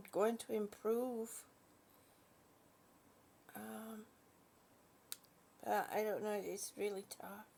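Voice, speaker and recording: A British woman hosting a casual live chat from her bed, greeting viewers warmly as honey and sweetie and sometimes dropping to a soft whisper. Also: fairly high pitch, gravelly. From British, born in Singapore. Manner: slow, soft, trailing off into whispers. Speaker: livestream host chatting with viewers. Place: at home in bed.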